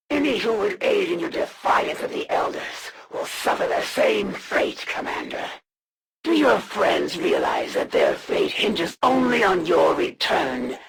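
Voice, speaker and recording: A haughty, honor-bound alien assassin from a video game. The delivery is formal and menacing, with wildly swooping pitch over a heavy, crackling rasp. Each phrase is drawn out slowly and solemnly. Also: fairly bright tone, heavily acted. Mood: haughty, threatening. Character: proud, honor-bound alien assassin serving dark masters. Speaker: villain voice in a strategy video game. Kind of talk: dramatic scripted game voice acting.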